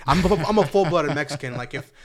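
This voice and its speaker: A man with a General American accent in casual podcast talk, soft-spoken and reflective. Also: on the high side, animated, fairly quick. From United States. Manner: soft-spoken, reflective, many backchannel murmurs. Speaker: podcast co-host. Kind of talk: casual conversation.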